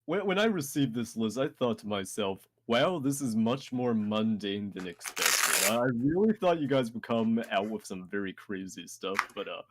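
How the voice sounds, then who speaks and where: Low-voiced man moderating a chat. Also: moderate pace, hardly any pauses, a little hiss or hum. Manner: steady, steers the talk to next topics. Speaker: discussion moderator. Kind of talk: casual group chat.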